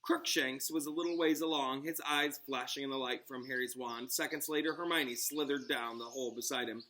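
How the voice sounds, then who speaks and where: Bright-voiced man reading a story aloud. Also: medium pitch, steady tone, brisk, slight background noise. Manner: dramatic narration, explaining asides to children. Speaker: teacher reading aloud to a class. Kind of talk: expressive storybook read-aloud with asides.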